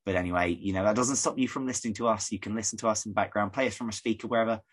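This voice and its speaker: A man from the UK hosting a casual podcast, rambling and conversational, his voice croaky. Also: fairly low pitch, steady tone, rapid-fire. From United Kingdom. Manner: rambling, conversational, many fillers. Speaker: podcast host.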